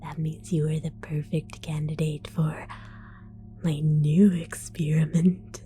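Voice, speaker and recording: A woman voicing a scatterbrained female mad scientist in an ASMR roleplay, whispering softly, giggling and stammering as she falsely reassures. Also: sits low, little pitch movement, takes it slowly. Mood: sly whisper. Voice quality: soft whisper.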